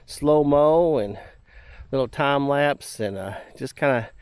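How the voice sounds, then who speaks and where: A man narrating a camping vlog in a relaxed, rambling way with many fillers, outdoors at a campground. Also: medium pitch, slow pace, smooth, no room sound. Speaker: camping vlogger.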